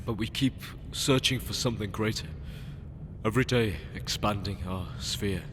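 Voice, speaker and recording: A man's voice: fairly low pitch, normal speed, background noise, natural voice. A man playing an ambitious, idealistic space explorer in a sci-fi audio drama, with an earnest, emotional and dramatic delivery. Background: music.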